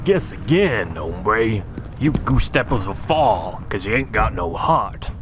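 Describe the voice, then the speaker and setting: A man's voice: fairly low pitch, very animated, takes it slowly, background noise. A man playing a defiant, cocky captured American pilot with a slangy manner in an adventure motion comic.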